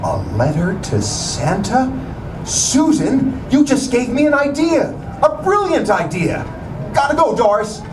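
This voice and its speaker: A man's voice: very high voice, sing-song, a little slow, full character voice. A man playing a proud, eager, idealistic young lawyer in a theatrical puppet show. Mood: excited. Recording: distant, echoey, noisy theater recording.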